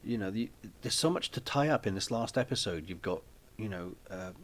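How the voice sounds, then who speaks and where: Man, low-pitched, rambling live-stream chat, frequent ums and restarts, home setting. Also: animated, a little fast. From Wales. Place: small flat at home.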